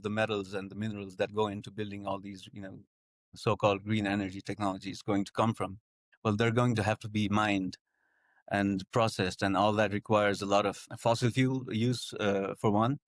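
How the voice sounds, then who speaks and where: A man's voice: on the low side, dry recording, studio quality. A young man from Nepal, a guest in an interview, talking about his path in a hesitant way, with many fillers and restarts. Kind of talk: conversational interview.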